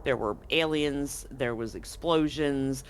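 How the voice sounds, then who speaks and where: A woman's voice: a bass voice, takes it slowly, a little hiss or hum. A woman as game master, recapping the story in a chatty, rambling way with frequent restarts. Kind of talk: recapping a tabletop game to a live audience.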